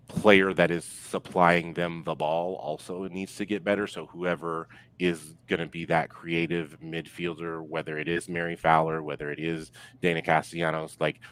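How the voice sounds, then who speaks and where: Very deep-voiced man, halting sports talk. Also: little pitch movement, a bit bright, smooth, poor audio. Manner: halting, heavily hedged with filler words. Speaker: podcast guest, football pundit. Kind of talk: casual sports analysis.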